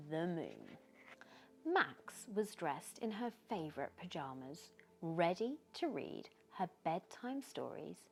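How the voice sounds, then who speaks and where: A woman reads a children's bedtime story aloud in an expressive, gentle storytelling manner. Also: lowish voice. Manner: expressive, gentle storytelling with questions posed to the listener. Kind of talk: bedtime story read aloud with short asides.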